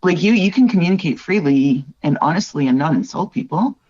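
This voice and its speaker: A woman's voice: deep voice, animated, fairly quick, dark tone, husky, no room sound, muffled recording. Middle-aged woman from northern ontario, earnest and reflective, keeps asking right?, dry close sound. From Northern Ontario, Canada. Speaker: wildlife artist, podcast guest. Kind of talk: candid conversational interview.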